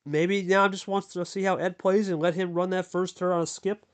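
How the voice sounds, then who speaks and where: A male play-by-play commentator with a slightly higher voice who keeps up a chatty, excited flow, hyping each move and bantering with his partner. He calls the game in a relaxed, casual way. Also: moderate pace, clear, resonant tone. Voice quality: deep radio voice. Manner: chatty, hyped, talks to co-host.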